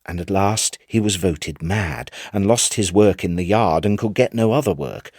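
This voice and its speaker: A man narrating a literary tale in an expressive, theatrical storytelling manner. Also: sits low, normal speed, no echo, clean studio sound. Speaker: narrator, audiobook reader. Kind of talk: dramatic scripted literary narration.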